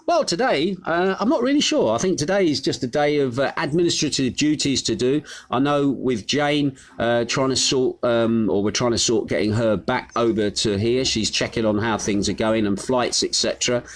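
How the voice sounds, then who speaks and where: Middle-aged man from the uk, live-stream host, friendly chatter, lots of ums and uhs, mid-pitched voice. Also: moderate pace, hardly any pauses. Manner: chatty and friendly, reads out viewer names with many fillers. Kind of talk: casual live-stream chat, greeting viewers. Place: his home on a Greek island.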